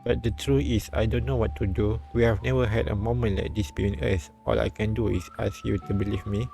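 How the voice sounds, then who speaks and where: A man live-streaming a visual novel, reading it aloud haltingly and often repeating words. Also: fairly low pitch, fairly even intonation, creaky. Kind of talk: read-aloud narration with casual asides. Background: soft game music.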